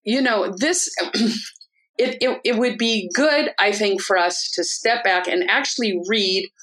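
A woman's voice: medium pitch, a little slow, a bit bright, rough recording. A woman in a casual talk-show discussion, explaining a Bible passage in an earnest, teaching way. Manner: earnest, teaching tone with frequent restarts.

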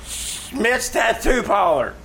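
Man doing a very slow, cheerful dimwit. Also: sits high, sing-song, vocal fry, fairly bright tone, full character voice. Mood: dopey, cheerful. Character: slow-witted, cheerful dopey male tavern regular. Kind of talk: old time radio comedy acting. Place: live radio theater stage. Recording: noisy live theater stage recording.

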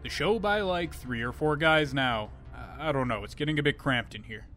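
A man's voice: fairly high pitch, normal speed, sharp tone, faint music behind. A man playing a flippant sci-fi talk show host, breezy and dismissive, on a comedy radio show.

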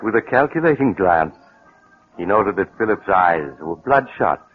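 A man playing the dramatic, deliberate storyteller of a 1940s radio mystery. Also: low voice, lively intonation. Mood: ominous. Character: ominous male storyteller of a mystery thriller. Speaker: narrator. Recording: old tinny radio recording with music.

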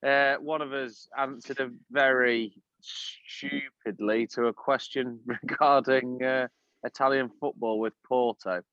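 A man's voice: mid-range voice, fairly even intonation, a little slow, a bit bright, no room sound, lo-fi sound. A man co-hosting a podcast, telling a long rambling story with lots of ums and uhs. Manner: rambling anecdote-telling with many fillers. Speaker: podcast co-host. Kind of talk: casual chat.

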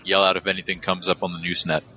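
A man's voice: deep voice, flat delivery, fast talker, pushed and bright, low-quality recording. A man playing a businesslike, wary dwarf mercenary leader who gives terse, matter-of-fact orders in an audio drama.

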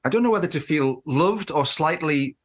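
A man co-hosting a podcast, introducing his guest in a lively, playful, enthusiastic way. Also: fairly high pitch, normal speed. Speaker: podcast co-host.

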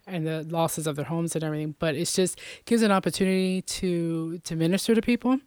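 Woman from Puerto Rico, interview guest, chatty, flat delivery, smooth tone. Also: on the low side, fairly quick, no echo. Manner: chatty, many fillers. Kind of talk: casual faith interview.

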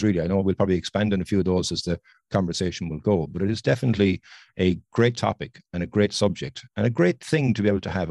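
A man's voice: fairly low pitch, a little mellow, no echo, clean studio sound. A middle-aged Irish man from Ireland, a guest on a podcast interview, talkative and enthusiastic over a video call. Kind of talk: conversational interview.

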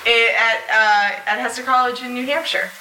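A woman's voice: on the high side, fairly even intonation, moderate pace, bright, forceful tone, slight background noise. A woman chatting informally on a live convention panel as a voice actor, often interrupting herself. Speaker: audio drama voice actor on a panel. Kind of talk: casual, animated chat. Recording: echoey, distant mic with clear music behind.

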